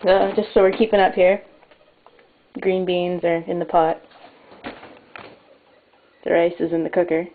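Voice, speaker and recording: A woman's voice: fairly low pitch, fairly even intonation, a little slow, pauses often. Young woman, wry home cooking host, tinny echoey camcorder sound with hiss. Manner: wry, self-mocking, offhand asides. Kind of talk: casual jokey cooking demo. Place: small home kitchen at night. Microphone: fixed camera mic across the kitchen.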